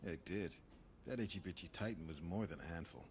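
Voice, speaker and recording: A man's voice: sits low, fairly quick, slight background noise, natural voice. A man acting a brash, joking, big-hearted warrior in a fan audio drama. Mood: fond, mournful.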